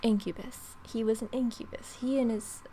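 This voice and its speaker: A woman's voice: on the high side, fairly even intonation, normal speed, warm tone, slight background noise, rough recording. A young adult woman reading a visual novel aloud as a let's play host, with playful, wry asides.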